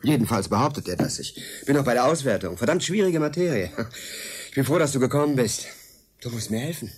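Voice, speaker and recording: An actor playing an excitable, idealistic male hacker with a cynical streak in a naturalistic German radio drama, in a husky, conversational voice. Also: medium pitch, quick pace. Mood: intrigued, sceptical.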